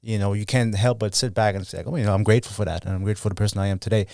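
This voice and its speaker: Man in his thirties, chatty podcast co-host, quick talker, lowish voice, dry sound. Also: steady tone, gravelly, warm tone, dry recording. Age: 30s. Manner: upbeat, chatty, says "you know" and "dude" a lot. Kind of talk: casual motivational chat.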